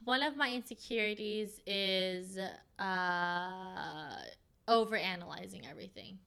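Young woman, Farsi first language, podcast host, bright, crisp voice, reflective, halting. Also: medium pitch. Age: young adult. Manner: reflective, open, halting with fillers. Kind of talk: casual heart-to-heart chat.

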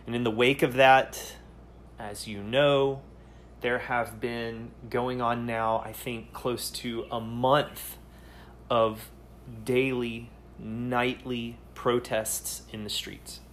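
A man hosting a solo podcast, speaking earnestly and haltingly, often pausing to search for words. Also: mid-range voice, flat delivery, a bit bright, slight background noise. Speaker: podcast host.

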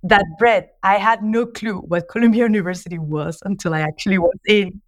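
Guest, female, colombian spanish accent, lively storyteller, mid pitch, on a call. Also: a little fast. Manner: animated storytelling, frequent laughs and restarts. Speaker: guest, social entrepreneur. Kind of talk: remote podcast interview. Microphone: call audio.

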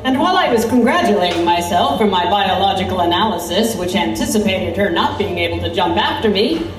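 A woman's voice: mid-range voice, expressive, brisk, slight background noise, natural voice. A woman playing an intrepid Victorian reporter, telling a horror adventure as dramatic scripted narration. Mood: tense recounting. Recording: echoey, distant, music underneath.

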